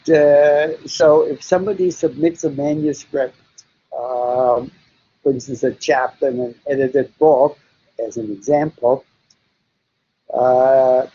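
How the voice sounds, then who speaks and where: Slow, gravelly older man, German native. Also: mid-range voice, mellow. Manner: slow, deliberate, frequent hesitations. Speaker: interviewee psychologist. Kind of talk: recorded interview answering questions. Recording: dull lo-fi recording.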